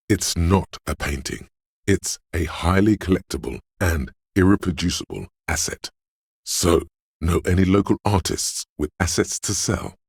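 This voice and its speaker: Slick, cocky game-character hustler: extremely low, very husky bass, theatrical money-hungry swagger. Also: male voice, dry recording, studio quality, full character voice. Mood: cocky, pushy. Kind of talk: video game voice acting, dramatic narration.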